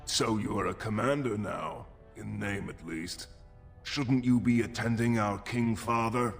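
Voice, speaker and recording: An actor playing a haughty dwarf prince and scornful elder brother in a fantasy video game, pompous and dismissive. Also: male voice, on the low side, unhurried, gravelly, soft background music.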